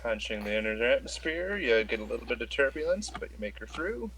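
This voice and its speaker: A man's voice: medium pitch, very animated, brisk, a bit bright, slight background noise, rough recording. A man acting as game master, talking players through a tabletop role-playing game.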